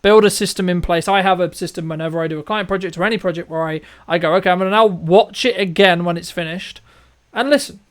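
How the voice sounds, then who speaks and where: A man's voice: sits high, quick pace. A man hosting a casual interview, talking in a rambling, repetitive way and often checking in with short tag questions. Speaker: interview host, filmmaker.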